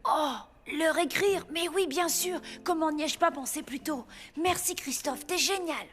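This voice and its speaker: Earnest, high-pitched little boy. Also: a little slow, music in the background. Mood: excited, urgent. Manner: earnest, expressive. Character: earnest, eager young orphan boy, Santa's apprentice. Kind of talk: French children's cartoon dubbing.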